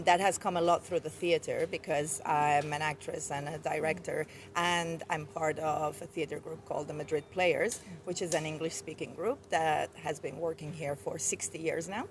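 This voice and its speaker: Farsi-native woman from Iran, lowish voice, reflective interview guest, faint ambience around. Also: a little hiss or hum. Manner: reflective, with soft fillers and light laughs. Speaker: guest poet. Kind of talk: casual interview. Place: outdoors outside a bookshop.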